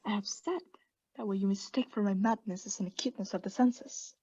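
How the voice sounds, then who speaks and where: A woman playing an obsessed mad murderer in a theatrical radio play, confessing intensely in hushed whispers and frantic outbursts. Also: medium pitch, fairly quick, slightly rough, muffled recording, natural voice. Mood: hushed, creeping dread.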